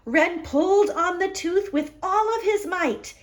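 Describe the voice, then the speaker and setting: A woman reading a picture book aloud for a children's story hour, expressive, with drawn-out emphasis on key words. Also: very high voice. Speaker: library story hour reader.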